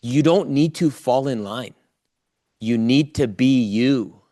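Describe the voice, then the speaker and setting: A man with a mid-pitched voice presents a motivational coaching talk in a close, dry recording. He talks informally and straight to his listeners, leaning on key phrases and repeating them for emphasis. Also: takes it slowly, dark tone, dry recording. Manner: informal, addresses listeners directly. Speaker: presenter coaching a live online audience.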